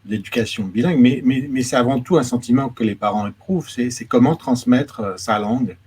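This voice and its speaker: A man's voice: medium pitch, brisk, a little mellow, slightly rough. A man interviewing an author, speaking hesitantly and repeating words as he builds his questions. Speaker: interviewer, education attaché. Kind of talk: moderated conversation. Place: New York.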